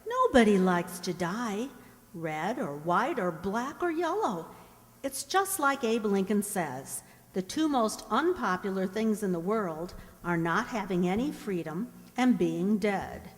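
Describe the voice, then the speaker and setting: A woman's voice: mid-range voice, sing-song, a little slow. A woman playing a bookish, principled young frontier woman, an earnest and instructive elder sister in a radio play. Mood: firm, earnest. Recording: reverberant hall-like room.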